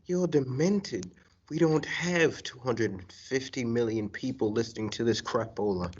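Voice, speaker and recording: A young man playing a bossy, exasperated radio studio colleague, stern and sarcastic, in a high school radio play. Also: measured pace, breathy edge. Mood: scornful. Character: gruff, bossy, exasperated male radio show boss. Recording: dull lo-fi recording.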